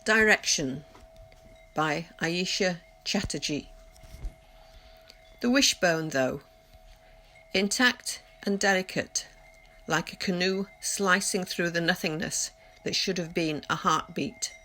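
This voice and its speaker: A woman reading poems aloud as a scripted recitation, in a measured, deliberate delivery. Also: on the low side, sharp tone. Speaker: poetry reader. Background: music.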